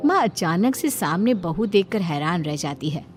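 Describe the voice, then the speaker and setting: A woman's voice: on the low side, lively intonation, normal speed, music in the background. A woman storyteller delivering scripted narration for a cartoon family moral tale.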